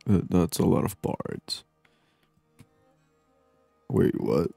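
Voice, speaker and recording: A man giving soft-spoken ASMR gameplay commentary in a hushed, unhurried murmur, at times near a whisper. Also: low voice, expressive, creaky, breathy edge, dry recording, soft background music. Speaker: gaming video host.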